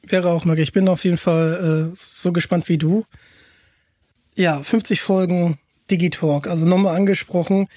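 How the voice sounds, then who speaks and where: A man hosting a podcast, chatting casually and enthusiastically, often halting and repeating words as he asks questions. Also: high-pitched, fairly even intonation, darkish tone, dry recording. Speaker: podcast host. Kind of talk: casual chat, interviewing.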